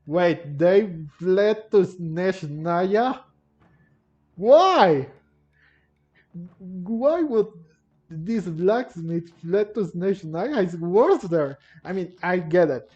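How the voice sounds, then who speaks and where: A man giving casual gameplay commentary, halting and often repeating or rephrasing himself. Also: high voice, animated, darkish tone. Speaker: gamer narrating a playthrough. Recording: muffled lo-fi mic, game music behind. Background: video game music.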